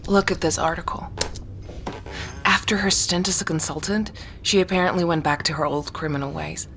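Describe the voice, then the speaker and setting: Low, creaky actress as earnest woman investigator. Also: fairly even intonation, moderate pace, close to an everyday voice. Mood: intent, suspicious. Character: earnest, suspicious woman amateur investigator confiding findings. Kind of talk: naturalistic audio drama dialogue. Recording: faint background noise.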